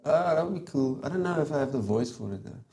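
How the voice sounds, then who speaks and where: A young man, hosting a podcast in casual chat, relaxed and laughing often, with many fillers. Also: a little high, fast, vocal fry. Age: young adult. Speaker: podcast host.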